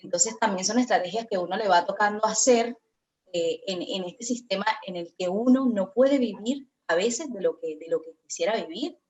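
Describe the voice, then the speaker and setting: A woman's voice: mid-range voice, normal speed, darkish tone, poor audio. Hesitant, reflective woman on a video call. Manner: reflective, hesitant with many fillers. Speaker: guest speaker. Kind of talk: virtual conference discussion.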